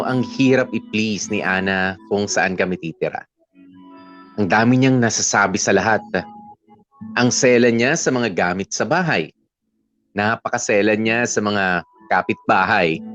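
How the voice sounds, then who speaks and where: A man's voice: mid-range voice, a bit bright. A man with a Filipino accent playing a patient, troubled young boyfriend, narrating his love story in a radio drama. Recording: slightly muffled, music underneath. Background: background music.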